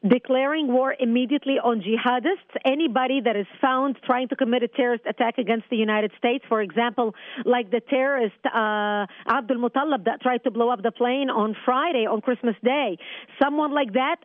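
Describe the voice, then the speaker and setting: Phone-in guest, a woman with Arabic as her first language, high voice, pressing her points hard over a thin phone line. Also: brisk, no room sound. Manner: insistent, forceful argument. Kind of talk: phone-in interview.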